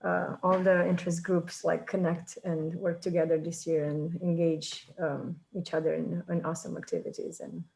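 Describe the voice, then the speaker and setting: Halting Romanian-accented woman, slightly low voice. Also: flat delivery, creaky, darkish tone, rough recording. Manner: halting, searching for words. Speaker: high school ESL teacher and assistant chair. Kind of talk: informal self-introduction in a meeting.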